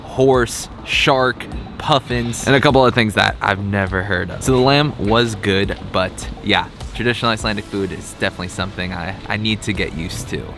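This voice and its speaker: A man's voice: fairly low pitch, normal speed, a bit bright. A young man narrating a casual travel vlog in an upbeat, chatty way, with light jokes. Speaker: travel vlogger and interviewer. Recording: background music under voice.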